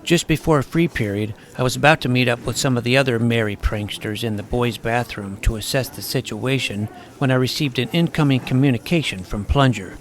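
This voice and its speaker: A man reading a first-person story aloud in a wry, deadpan manner. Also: mid-range voice, a little fast, few pauses. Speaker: audiobook narrator. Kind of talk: first-person scripted narration.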